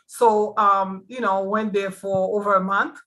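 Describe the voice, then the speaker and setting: A woman hosting a casual talk show, chatting and asking guests questions in a relaxed way with many fillers. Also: mid-range voice, a little slow. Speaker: host.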